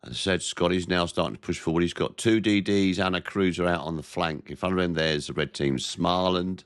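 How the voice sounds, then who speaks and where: A very deep-voiced man with a head cold, his voice nasal and stuffy as he narrates a warship game in a measured, plain-spoken way. The sound is close and dry, with no room around it. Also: steady tone, dry recording. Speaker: gameplay commentator. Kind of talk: naval game commentary.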